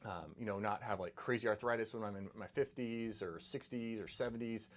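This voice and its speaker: A man's voice: fairly low pitch, fairly quick. Male doctor, middle-aged, earnest and repetitive, casual health talk to camera. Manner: earnest, repeats words and restarts often. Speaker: doctor giving health advice.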